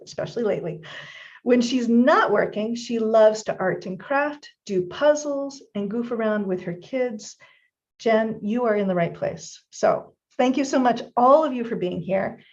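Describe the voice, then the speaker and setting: A woman with a somewhat high voice hosts a live online event. She greets viewers brightly and speaks right to them. The sound is muffled, like stream audio. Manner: upbeat, addresses audience directly. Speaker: host. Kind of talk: live-streamed webinar hosting.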